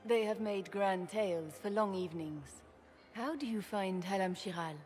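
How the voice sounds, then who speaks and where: An actress playing a poised, gracious empress in a fantasy game, formal, stately and courtly. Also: female voice, mid-range voice, normal speed, a little mellow, soft background music, close to an everyday voice. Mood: gracious, regal.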